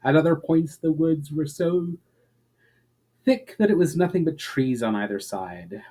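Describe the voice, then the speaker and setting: A man reading a fantasy story aloud as a narrator, in a measured, expressive storyteller's manner. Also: fairly high pitch. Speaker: author reading fiction aloud.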